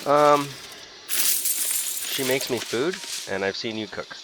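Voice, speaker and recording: A young man playing a game with a friend, firing off dry, deadpan comebacks in casual joking chat. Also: medium pitch, slow pace. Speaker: co-player. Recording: noisy home stream.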